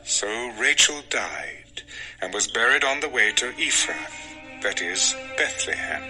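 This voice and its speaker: A man narrates scripture in a dramatized audio style. His mid-range voice moves expressively up and down while staying measured. Music runs clearly beneath the narration. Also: mid-range voice, big pitch swings, poor audio.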